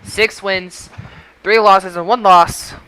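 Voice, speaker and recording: A man with a high, bright voice hosts a casual podcast. He reads out results like an announcer, restating figures for effect. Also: steady tone, slow pace, smooth, rough recording. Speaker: podcast host.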